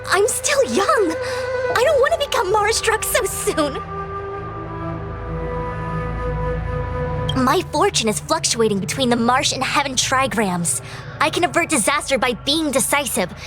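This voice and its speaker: High-pitched anxious young fortune-teller. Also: female voice, lively intonation, normal speed, over music. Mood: nervous, flustered. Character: anxious young female fortune-teller, chatty and a little bossy. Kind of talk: video game voice acting.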